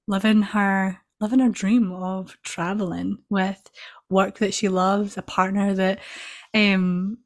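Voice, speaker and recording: A woman hosts a casual podcast chat with lots of warmth in her words and eager repetitions. Her voice sits at an ordinary middle pitch. She spills enthusiasm over every sentence. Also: little pitch movement, slow pace. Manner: gushing, enthusiastic, repeats words. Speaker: podcast host.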